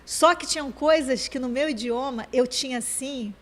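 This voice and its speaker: High-voiced woman talking fast with restarts. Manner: fast, searching speech with many restarts. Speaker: program co-host.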